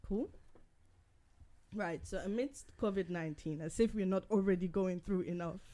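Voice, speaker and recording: A woman from Switzerland hosting a casual group podcast, asking quick questions and laughing often. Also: medium pitch, warm tone. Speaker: podcast host. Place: indoor podcast recording.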